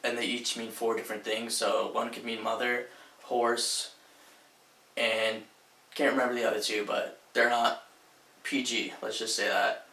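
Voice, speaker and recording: A young man who grew up in Sweden gives a casual personal introduction as a student, with relaxed "like" and "um" fillers. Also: medium pitch, unhurried. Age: young adult. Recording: echoey room, distant mic.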